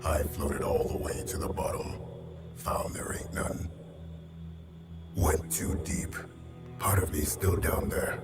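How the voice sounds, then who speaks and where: An actor playing a vengeful undead drowned sailor, a grim and twisted video game villain who speaks in brooding, menacing monologue with a whispery, gravelly rasp. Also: male voice, extremely low pitch. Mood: brooding, haunted. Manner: slow, menacing, brooding, colloquial speech. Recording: echoing, distant, music underneath. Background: loud music.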